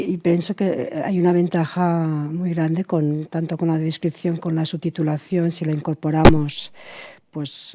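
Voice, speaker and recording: A woman with a lowish voice that is soft and mellow in tone. She joins a panel discussion with hesitant phrasing and fillers. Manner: hesitant, with fillers and repeated words.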